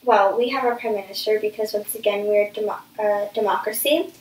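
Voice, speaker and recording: A woman's voice: mid-range voice, brisk, darkish tone. A woman answering as an interview guest in an explanatory way, recorded at a distance in an echoey room.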